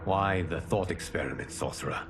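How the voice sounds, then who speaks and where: Solemn, low-voiced protector god. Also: male voice, vocal fry, background noise. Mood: grave. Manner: measured, formal. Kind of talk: cinematic fantasy game dialogue.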